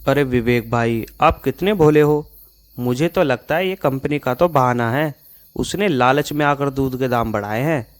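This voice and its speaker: A man's voice: medium pitch, normal speed, close to an everyday voice. A man acting a pushy, suspicious cartoon customer. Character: suspicious, grumbling male office-going customer, pushy. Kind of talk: Hindi moral-story cartoon dialogue. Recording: music behind the voice.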